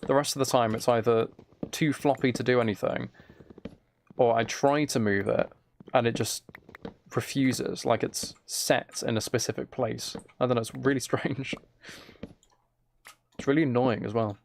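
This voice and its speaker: A man streaming a game, chatting casually in a relaxed ASMR style, rambling along in a self-deprecating way. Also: mid-range voice, normal speed, creaky, long pauses, a little hiss or hum. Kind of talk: casual livestream chat while gaming, relaxed ASMR.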